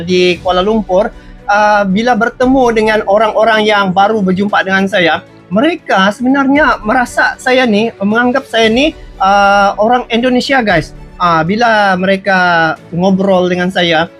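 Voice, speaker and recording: A woman with a Sabah Malaysian accent hosts a casual reaction video. Her voice sits at an average pitch and she talks conversationally, straight to her viewers. Light music plays behind her. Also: fairly bright tone. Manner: conversational, addresses viewers directly. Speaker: reaction channel host.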